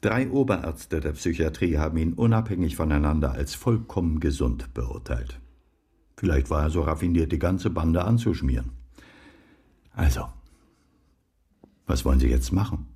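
An actor playing a blunt, seasoned private investigator in a radio drama, speaking in a matter-of-fact, unhurried way. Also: male voice, rumbling low, animated, warm tone, breathy edge, heavily acted. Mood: sober, wry.

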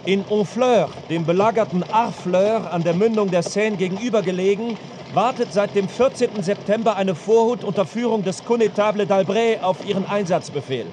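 A man plays a formal war correspondent in a radio play. His voice is high, with faint outdoor noise behind. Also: normal speed, a little mellow, close to an everyday voice. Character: male correspondent reporting on waiting armies. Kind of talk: formal reportage in a radio drama.

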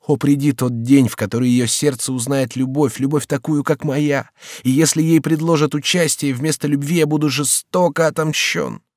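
A man's voice: on the high side, steady tone, moderate pace, darkish tone, no room sound, clean studio sound, pitched above the performer's own voice, natural voice. A man voicing an anguished young count. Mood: bitter. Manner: impassioned, pleading, declamatory. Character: young male count, lovesick and despairing.

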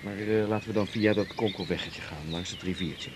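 An actor playing a wealthy, gentle farmer who coaxes and teases a woman in a radio drama. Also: fairly quick, noisy background, close to an everyday voice. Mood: coaxing. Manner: low-key, coaxing, playful. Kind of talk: 1980s radio drama acting.

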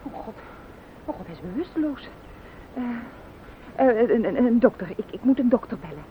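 A woman's voice: a little high, big pitch swings, moderate pace. An actress playing an alarmed, polite woman, pleading and flustered, in a radio play. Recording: muffled old radio recording, clear hiss.

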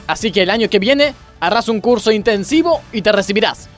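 A man's voice: high voice, lively intonation, brisk, soft background music. A man acting a pompous, moralizing gymnastics coach who makes grandiose speeches in a parody radio play. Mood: preachy. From Argentina. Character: pompous, moralizing male gymnastics coach, scolding yet easily distracted.

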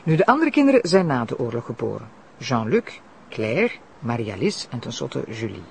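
A woman's voice: a bass voice, sing-song, moderate pace, gravelly, heavily acted. Female prosecutor, Flemish accent, eager, courteous, naturalistic audio drama, light noise behind. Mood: businesslike. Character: eager, polite, determined female deputy prosecutor. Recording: faint background noise.

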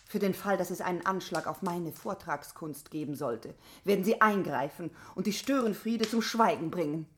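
An actress as a haughty, self-important prima donna. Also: female voice, on the low side, moderate pace, few pauses, slight background noise, close to an everyday voice. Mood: defiant. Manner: grand, indignant, self-assured. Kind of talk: German radio play acting.

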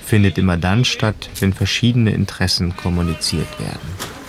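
A man's voice: sits low, normal speed, gravelly, noisy background. A man reading scripted documentary narration in a terse, matter-of-fact way. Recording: music behind the voice.

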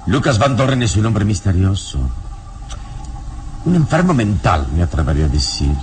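A wise, commanding adventurer with a very low voice. He speaks with deliberate, sententious drama and wide melodic swings, in old radio serial style. The recording is clearly noisy. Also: big pitch swings, a little mellow. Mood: composed, knowing. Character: calm, wise, commanding male adventurer hero.

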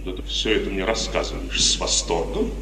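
A man's voice: low-pitched, fairly even intonation, a little fast, vocal fry. An actor playing a stern, proud, suspicious middle-aged father in a declamatory 1950s Russian radio drama. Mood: indignant. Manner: declamatory, emphatic. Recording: noisy, reverberant 1950s radio recording, distant.

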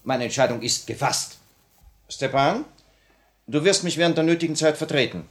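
An actor playing the grave, resolute leader of a revolutionary cell in a 1950 radio play, speaking with measured authority. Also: male voice, on the high side, natural voice. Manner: measured, authoritative theatrical delivery.

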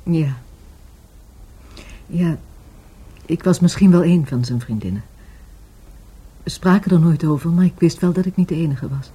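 A soft, grieving, very mellow woman. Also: sits low, breathy edge, heavily acted, poor audio. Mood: wistful, searching. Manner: hesitant, halting, softly searching for words. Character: grieving, wistful lover of a murdered market trader. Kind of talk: Dutch radio play acting.

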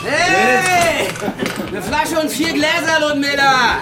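An actor playing a coarse, aggressive small-town drunken thug, shouting in a radio play. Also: male voice, very high-pitched, expressive, slow pace, over loud music, heavily acted. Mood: belligerent. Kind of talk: radio drama dialogue.

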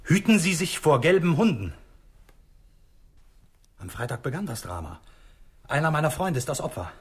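A frightened bourgeois doctor in an old radio play. His husky voice moves restlessly up and down, and he halts and repeats words, indignant and sorry for himself. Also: on the high side, big pitch swings, moderate pace, full character voice. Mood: uneasy, brooding. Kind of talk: 1960s German radio drama acting.

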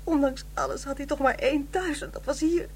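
A woman's voice: sits high, steady tone, brisk, slight background noise. An actress playing a proud, bitter widow in her fifties, curt and formal, in a radio drama. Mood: subdued grief. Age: 50s.

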